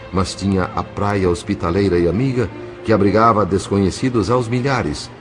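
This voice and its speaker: Brazilian guy narrating an audio novel, deep voice, measured and serious, soft music behind. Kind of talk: dramatic scripted narration.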